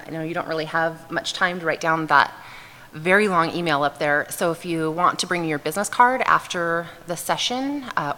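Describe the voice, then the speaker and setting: A woman on a conference panel speaks in a low but bright, clear tone and offers practical advice with relaxed pauses. Her voice rings out through a large, echoing hall, with a faint hum of ambience behind it. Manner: relaxed, practical tips delivered with frequent pauses. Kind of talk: conference presentation.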